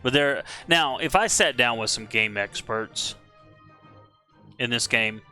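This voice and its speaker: A man giving casual, rambling live-stream commentary while playing a game, slightly hoarse and often correcting himself. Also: mid-range voice, animated, slow, sharp tone, faint music behind.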